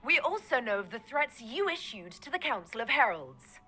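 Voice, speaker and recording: A sharp, cold elf mage, speaking with cool confidence. Her pitch moves freely in a lively way through the game's dialogue. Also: normal speed, faint music behind, exaggerated voice.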